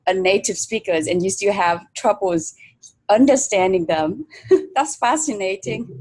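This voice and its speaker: Slow-talking woman interviewer. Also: mid-range voice, darkish tone. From Vietnam. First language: Vietnamese. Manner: hesitant, many fillers. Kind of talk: conversational interview.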